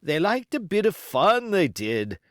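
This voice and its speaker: A man voicing a rough, grumbling, bloodthirsty pirate coxswain in a storybook, with emphatic delivery and rough sailor grammar. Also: high-pitched, big pitch swings, measured pace, fairly bright tone, dry recording, clean studio sound, pitched above the performer's own voice, full character voice.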